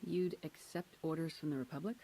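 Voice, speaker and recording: A confident female commander from a video game, voiced by a woman. Her voice is very low. She is cool and businesslike, keeping her delivery terse. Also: steady tone, a little fast, dark tone, a little hiss or hum, close to an everyday voice, rough recording.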